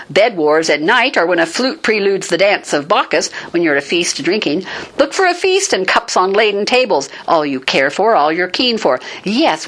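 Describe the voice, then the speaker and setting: A woman's voice: medium pitch, fairly bright tone. A woman voicing a fierce male war captain in an epic poem, indignantly scolding his troops in a declamatory way.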